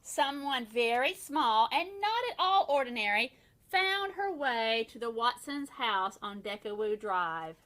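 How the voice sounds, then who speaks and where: A woman reading a children's bedtime story aloud like a first grade teacher, with expressive, deliberate storytelling. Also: a little high.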